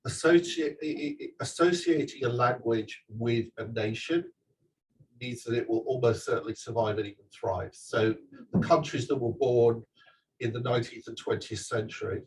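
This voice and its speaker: A man's voice: medium pitch, normal speed, distant mic, poor audio. A man speaks at a live book event, chatty and loosely structured, stumbling over restarts as he goes. His voice sits in an ordinary middle register. He sounds set back from the microphone, with faint room noise underneath. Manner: chatty, digressive, many restarts and fillers. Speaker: author giving a talk. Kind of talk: live talk and audience Q&A. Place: event venue with audience.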